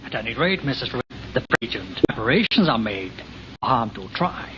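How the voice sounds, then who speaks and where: An actor playing a polite, reserved police captain in a 1940s radio adventure, formal and courteous. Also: male voice, mid-range voice, big pitch swings, normal speed, close to an everyday voice. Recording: muffled, noisy old radio recording.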